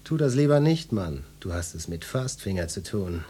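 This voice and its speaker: An actor playing a brusque, swaggering male police inspector in a radio drama, gruff and bossy as he questions people. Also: low-pitched, sing-song, normal speed, warm tone, heavily acted. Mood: musing.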